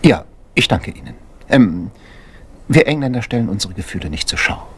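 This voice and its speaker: An actor playing a stiff, self-important upper-class gentleman politician, formal and clipped, in a German crime radio drama. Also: fairly low pitch, very animated, moderate pace, slight background noise. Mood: distracted, stiff.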